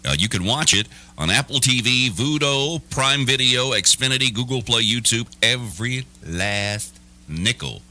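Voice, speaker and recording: Animated, punchy male morning radio host. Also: mid-range voice, a little slow. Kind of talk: lively radio banter. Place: radio studio. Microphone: close broadcast studio mic.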